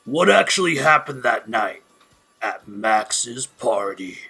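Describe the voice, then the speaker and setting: A man playing an excitable, nosy and dramatic young friend with a gravelly rasp in an audio drama. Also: medium pitch, slow pace, faint music behind, heavily acted. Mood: nosy, pushy. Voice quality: gravelly, raspy. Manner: incredulous, theatrical. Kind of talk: amateur audio drama acting.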